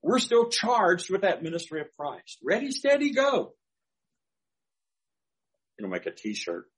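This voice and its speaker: Older male pastor, folksy storyteller, a bit high-pitched, animated delivery. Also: unhurried. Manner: folksy storytelling, chuckling asides. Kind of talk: sermon with personal anecdotes.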